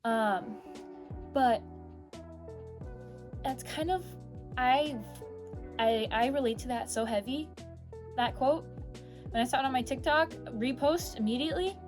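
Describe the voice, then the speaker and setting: A young woman vlogging about a book chapter in a casual, rambling, animated way, with a swear word here and there. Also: high-pitched, unhurried, pauses often, music in the background. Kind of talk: casual chat.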